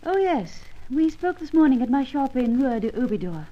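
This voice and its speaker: A woman's voice: high voice, big pitch swings, moderate pace. A woman playing a polite, guarded shopkeeper with formal, precise speech, in an old-time radio serial style. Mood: polite, reserved. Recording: muffled vintage radio recording.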